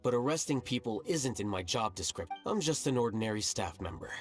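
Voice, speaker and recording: A man playing a cool, rational young scholar in a video game, measured, detached and condescending. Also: mid-range voice, lively intonation, faint music behind, lightly acted. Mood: cool, cutting. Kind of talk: video game character acting.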